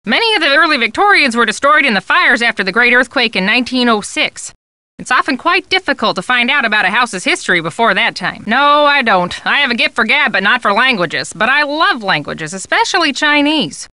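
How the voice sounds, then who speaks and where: A woman's voice: on the high side, big pitch swings, fairly quick, pushed and bright, no echo, heavily acted. An actress playing a chatty, scatterbrained woman photojournalist who gushes and rambles, in a video game. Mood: chatty, informative. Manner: gabby, gushing, rambling. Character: chatty, warm, scatterbrained woman photojournalist, easily carried away. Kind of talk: video game character dialogue.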